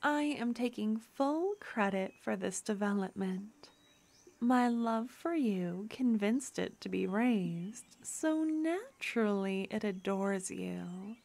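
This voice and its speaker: A woman voicing a tender young necromancer girlfriend, talking in a soft whisper in a fantasy ASMR roleplay. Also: mid-range voice, animated, takes it slowly, vocal fry. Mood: delighted.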